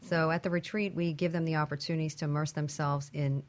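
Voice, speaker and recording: Low-pitched woman interview guest. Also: level tone, fast. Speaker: guest, women's retreat organiser. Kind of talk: conversational interview answers.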